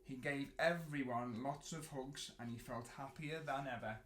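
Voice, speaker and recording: A man reading a picture book to children in an expressive storytelling style, with dramatic pauses and drawn-out words. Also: mid-range voice. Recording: roomy echo, clear background noise.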